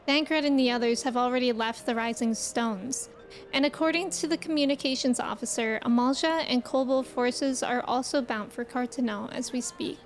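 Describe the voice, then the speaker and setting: A woman live-streaming a game, chatting casually and reading aloud in a playful, expressive way. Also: fairly high pitch, moderate pace, a little hiss or hum. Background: game music.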